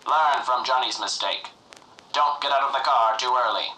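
A man's voice: mid-range voice, moderate pace, echoey room, distant mic, very noisy. A man narrating in the style of a 1950s educational filmstrip, with an earnest, pompous, moralizing announcer delivery. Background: music.

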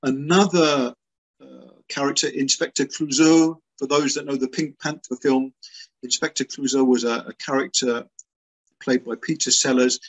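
An older man from England talks over a laptop webcam from a small room at home. His voice is a touch husky and mid-pitched. He explains with animated emphasis, often repeating his words for stress. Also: moderate pace, rough recording. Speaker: author and guest. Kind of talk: video call interview. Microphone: laptop webcam on a video call.

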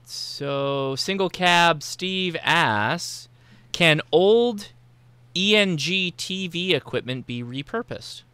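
A man's voice: a little high, lively intonation, slow, sharp tone, smooth, no echo. An American man in his thirties hosting a livestream casually, reading out viewer questions on a close mic. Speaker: livestream host. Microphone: close mic on boom arm, with headphones.

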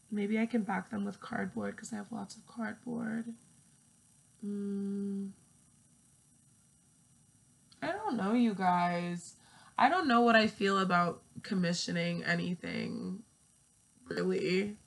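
A woman's voice: mid-range voice, creaky, slight background noise. A twenty-year-old woman vlogging in her bedroom, rambling and swearing as she thinks aloud. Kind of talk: casual chat to camera. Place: small bedroom, indoors. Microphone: earphone mic, laptop webcam.

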